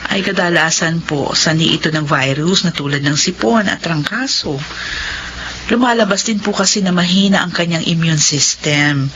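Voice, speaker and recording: A woman playing a kind, reassuring doctor in a Filipino radio drama, explaining things gently. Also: on the low side, moderate pace, a little hiss or hum, close to an everyday voice. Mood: calm, reassuring. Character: kind, reassuring middle-aged lady doctor. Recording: slightly dull audio over music.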